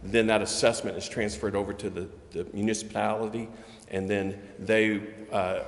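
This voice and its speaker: Low-voiced man in an echoey chamber. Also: level tone, moderate pace. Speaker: local economic development official. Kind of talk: explanatory remarks at a public meeting. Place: city council chamber. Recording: echoey reverberant meeting chamber.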